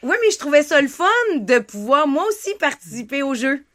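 A woman's voice: high-pitched, brisk. A woman from southern France, with a mixed southern French and Québécois accent, arguing her point animatedly in a casual game panel. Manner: animated, talks over others. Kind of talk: casual chat.

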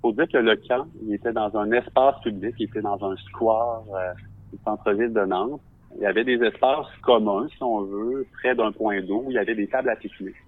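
Low-voiced Québécois man on a phone-like line. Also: fairly even intonation, dry recording. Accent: Québécois French. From Quebec. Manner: reflective, measured, searching for words. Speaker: visual artist, podcast guest. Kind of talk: personal storytelling for a podcast. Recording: narrow phone-like sound, dry.

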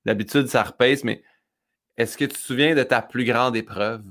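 A man's voice: medium pitch, fairly bright tone. A man hosting a casual interview, chatty and fond of acting out quoted lines. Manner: chatty, quick asides, acts out quoted lines. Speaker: host.

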